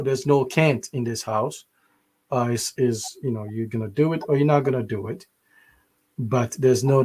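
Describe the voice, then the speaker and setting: A man from Ghana co-hosting a podcast, talking in an emphatic, motivational way with many restarts. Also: medium pitch. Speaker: podcast co-host. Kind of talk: casual discussion.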